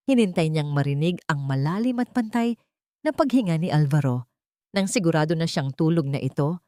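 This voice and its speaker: A woman with a fairly low voice narrates a scripted drama. She reads in a dramatic storytelling style, and the sound is dry and close. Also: a little mellow, no echo.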